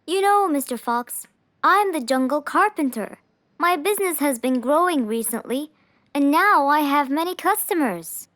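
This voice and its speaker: A woman's voice: sits high, expressive, moderate pace, a bit bright, no room sound. A woman voicing an earnest, polite, anxious male jungle animal in a children's cartoon. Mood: earnest explaining.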